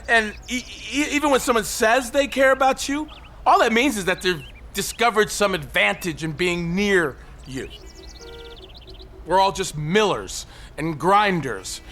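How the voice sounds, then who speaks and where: Bitter, halting man, rather high-voiced. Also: pushed and bright, exaggerated voice. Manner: halting, defiant, cynical, profane. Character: bitter, paranoid, suicidal loner perched on a high ledge. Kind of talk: naturalistic audio drama acting.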